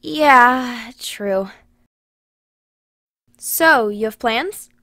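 A woman's voice: fairly high pitch, drawn-out words, sharp tone, no echo, studio quality, heavily acted. A woman playing a blunt but caring grandmother in recovery, speaking earnestly in an audio drama. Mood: easygoing. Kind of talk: podcast audio drama acting.

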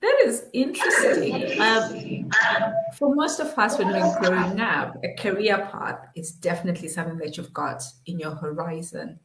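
A woman in her forties hosting a live online interview, greeting viewers with upbeat, drawn-out welcomes over a webcam. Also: fairly low pitch, very noisy. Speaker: host. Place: small room at home. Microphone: webcam on a video call. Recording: echoey room.

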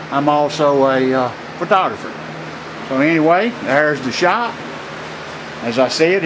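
Man rambling through his workshop. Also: on the high side. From Louisiana, USA. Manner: rambling, friendly, with many pauses and fillers. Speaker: knife maker. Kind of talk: casual workshop tour. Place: small metal workshop building, indoors. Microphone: handheld camera mic. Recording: noisy handheld camera audio.

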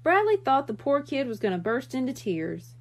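A woman reading a children's mystery book aloud in expressive storytelling narration. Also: sits high, normal speed.

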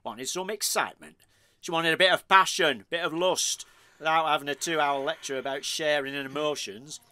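An actor playing a blunt, crude middle-aged husband in an audio play, exasperated and argumentative. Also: male voice, on the high side, normal speed, bright, forceful tone. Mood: provoking.

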